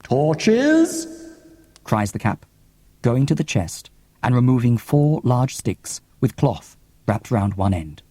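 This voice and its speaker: Bright, deliberate male audiobook narrator. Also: medium pitch, expressive, slightly rough. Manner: deliberate, theatrical. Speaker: author reading own audiobook. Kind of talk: dramatic scripted narration. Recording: cassette audiobook with long reverb.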